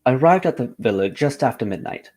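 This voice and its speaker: An actor playing a composed, courteous gentleman detective in a radio drama, speaking in a formal, measured way. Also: medium pitch, animated, natural voice. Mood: earnest recounting.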